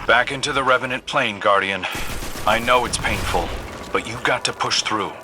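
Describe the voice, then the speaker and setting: An actor playing a calm, resolute military commander and mentor in a video game, measured and frank. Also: male voice, medium pitch, lightly acted. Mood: steady urging. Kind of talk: dramatic video game acting. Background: dramatic music.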